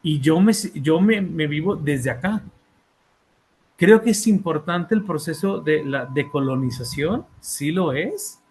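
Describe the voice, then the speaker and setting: A man with a Mexican accent presenting his book in an academic talk, hesitating often with fillers and repeated words and checking in with his listeners. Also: fairly high pitch. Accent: Mexican Spanish. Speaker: author and therapist presenting a book.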